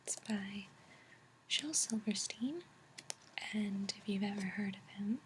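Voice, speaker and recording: A woman speaking softly in a breathy near-whisper, gently and unhurriedly, as an ASMR host reading and chatting. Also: mid-range voice, slow, background noise. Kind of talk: soft-spoken ASMR reading and chat. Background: page turning, tapping, clicks.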